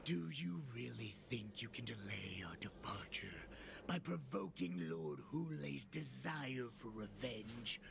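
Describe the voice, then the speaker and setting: An actor playing a menacing, sadistic wolf-like villain in a video game, gravelly and taunting with a breathy edge. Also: male voice, mid-range voice, sing-song, background noise, heavily acted. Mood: gloating. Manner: slow, taunting, threatening.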